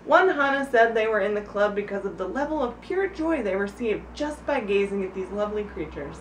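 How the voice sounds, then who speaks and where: A woman's voice: medium pitch, lively intonation, brisk. A woman reading a humorous story aloud to friends in a giggly, informal way, stumbling and breaking off to comment. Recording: echoey room, distant mic, background noise.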